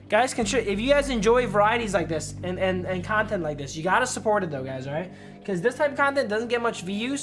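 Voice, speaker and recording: A man's voice: high-pitched, a bit bright. Slangy male game streamer over music. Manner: slangy, sweary, chatty. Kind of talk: casual livestream commentary and reading game text aloud. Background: music behind the voice.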